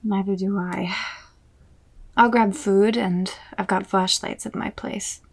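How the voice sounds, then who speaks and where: An actress playing a determined, loyal fortune-teller in an audio drama, speaking in a hushed, matter-of-fact, naturalistic way. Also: female voice, medium pitch, steady tone, takes it slowly. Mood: practical. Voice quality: husky, breathy. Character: determined, loyal female fortune-teller joining a dangerous mission. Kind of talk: audio roleplay acting, naturalistic.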